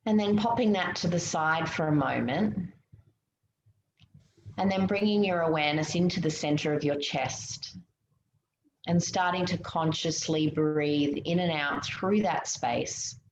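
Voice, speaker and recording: A woman leading a guided meditation in a soothing, gentle way, giving unhurried instructions with pauses. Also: low-pitched. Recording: muffled, roomy, noticeable background hiss.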